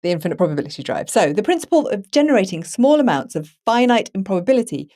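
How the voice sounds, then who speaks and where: A woman's voice: mid-range voice, very animated, fast, warm tone. A woman hosting a podcast, talking casually and with enthusiasm. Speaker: podcast host. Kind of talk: casual discussion with passages read aloud.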